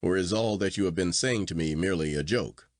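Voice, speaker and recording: A man voicing a convinced, stubborn gentleman in storybook dialogue, formal, assertive and questioning. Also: low-pitched, brisk, dry recording, studio quality, natural voice. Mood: convinced, challenging.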